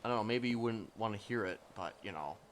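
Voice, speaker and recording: A man's voice: lowish voice, quick pace, a little hiss or hum. A man improvising casual roleplay chat in a hesitant, conspiratorial, rambling way. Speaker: roleplay game player.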